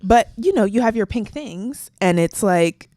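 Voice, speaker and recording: A soft-spoken young woman co-hosting a podcast, telling stories in a casual chat and acting out quoted voices. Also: mid-range voice, a little slow, no room sound, studio quality. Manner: animated storytelling with quoted voices. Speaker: podcast co-host.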